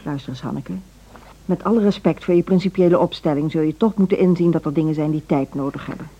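A woman playing a cool, authoritative middle-aged music school director in a Dutch radio play, speaking in a measured, formal, pragmatic way. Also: fairly low pitch, dark tone, dull audio, close to an everyday voice.

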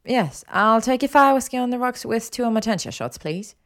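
An earnest, brainy young witch acted out by a woman narrator in an audio story. She speaks articulately and with animation, a little higher than plain narration. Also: expressive, a little fast, fairly bright tone.

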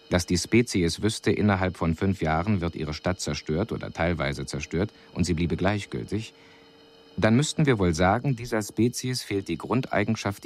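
An actor playing an alien envoy in a radio drama, delivering a formal report in a measured, precise, clinical manner. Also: male voice, low-pitched. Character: male alien envoy reporting coolly on a doomed city. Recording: radio drama recording with audible background noise.

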